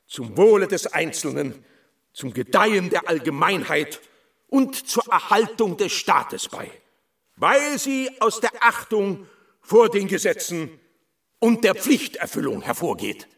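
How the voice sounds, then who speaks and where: A self-important official orator in a radio play, declaiming slowly from well off the mic. His raised, gravelly voice rolls through grand rhetorical phrases. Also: sits high, very animated, a bit bright, heavily acted. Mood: solemn, grandiose. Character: pompous male official orator addressing farmers. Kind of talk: public speech in a radio drama. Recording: distant, off-mic public address.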